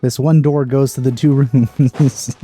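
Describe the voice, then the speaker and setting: A man hosts a let's play, narrating casually over the game. His tone is very dark and mellow. He keeps up a stream of wry, sarcastic asides. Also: medium pitch, steady tone, unhurried, soft background music. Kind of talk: casual gameplay commentary.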